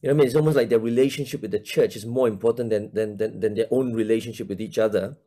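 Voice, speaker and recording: A man's voice: fairly low pitch, very fast, warm tone. A man in his forties from Malaysia, a church minister talking casually in an interview, hesitant, with many fillers and restarts.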